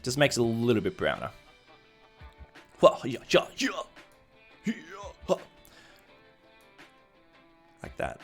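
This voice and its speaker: A man's voice: mid-range voice, wildly swooping pitch, takes it slowly, creaky, long pauses. A man casually commenting on a game live stream, thinking aloud as he chats with viewers. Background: background music.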